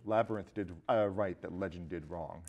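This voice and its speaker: A man's voice: low voice, normal speed, a little mellow. Man hosting a casual film chat. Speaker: film talk show host.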